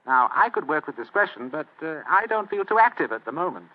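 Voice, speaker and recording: An actor playing a pompous, languid, shrewd English gentleman of authority, measured and deliberate, in an old radio drama. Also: a little high, sing-song. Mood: grave, deliberate. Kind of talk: old radio mystery acting. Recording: tinny old radio recording.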